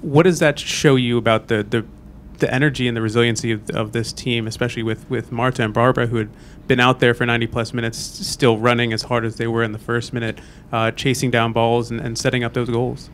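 Man, reporter, flat delivery, off-mic, faint rumble. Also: fast talker. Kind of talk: asking a press-conference question.

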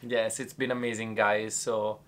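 A man's voice: lowish voice, flat delivery, unhurried, slight background noise. A young man co-hosting a casual reaction chat, teasing and playful. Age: young adult. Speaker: co-host. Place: bright indoor room.

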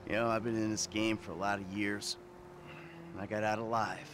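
An actor playing a jaded, hot-tempered middle-aged retired gangster in a video game, with an American accent and a cynical, sardonic way of talking. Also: male voice, fairly low pitch, level tone, fairly quick, background noise, natural voice. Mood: weary cynicism. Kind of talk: naturalistic video game acting.

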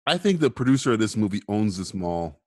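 A man's voice: lowish voice, sing-song, brisk, no echo. Guy riffing on a bad movie, voice jumps all over, dry close mic. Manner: joking, mocking the film. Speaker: podcast co-host. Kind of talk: casual movie commentary.

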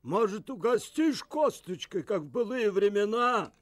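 Slow, high-voiced cartoon street dog. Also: male voice, expressive. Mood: surprised, hopeful. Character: slow-talking male street dog, an old acquaintance. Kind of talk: animated film dub.

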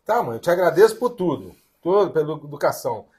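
Man acting a torn husband, swooping pitch. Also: very animated, fast, darkish tone, husky. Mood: firm, earnest. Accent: Brazilian. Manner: hesitant, repetitive, earnest. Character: hesitant adult son and husband, torn, earnest. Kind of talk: domestic drama dialogue.

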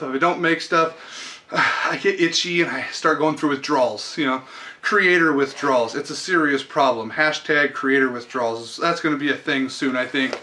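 A man in his thirties chats casually to the camera in a small workshop, rambling through ums and uhs. His voice sits a touch high and comes across bright and forceful, picked up close by the camera's own mic. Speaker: woodworking channel host. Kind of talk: casual shop update to camera. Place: small indoor workshop under fluorescent lights. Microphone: camera mic close in front.

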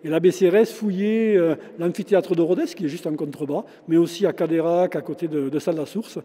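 A man's voice: a little high, steady tone, fairly quick, dark tone, slightly rough. A man in his sixties, a shop owner and local historian, talking through a face mask in a casual interview, with many fillers and restarts. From France. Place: large former chapel, indoors. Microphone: handheld interviewer mic, face mask on. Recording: long reverb of a stone chapel.

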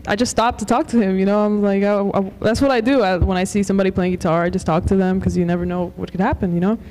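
A woman's voice: mid-range voice, steady tone, quick pace, a little mellow. A young woman from Los Angeles, a singer, chatting casually in an interview, rambling and laughing between restarts into a handheld mic. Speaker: singer, guest.

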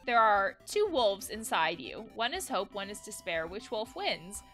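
A woman's voice: mid-range voice, very animated, measured pace, a bit bright, faint music behind. Woman acting an exasperated, stressed young game character. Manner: exasperated, emphatic. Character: stressed, angry young human battling own anxiety. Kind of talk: game dialogue read aloud.

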